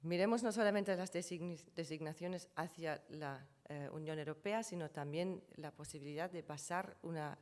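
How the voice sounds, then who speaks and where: A woman with a notably low voice presents at a conference, her first language being German. She explains figures from her slides one by one and often corrects herself mid-sentence. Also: moderate pace, few pauses, darkish tone. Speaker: conference speaker on trademarks.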